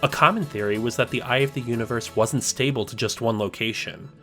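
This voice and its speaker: A man narrating a scripted video essay in a measured, explanatory way. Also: mid-range voice, a bit bright, soft background music.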